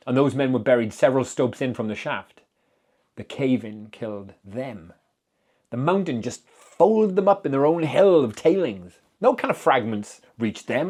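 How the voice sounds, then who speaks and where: A man's voice: mid-range voice, darkish tone, close to an everyday voice. A man voicing a distraught, tired and dogged sheriff in a dramatic story reading, insistent and emphatic. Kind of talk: dramatic audiobook character reading.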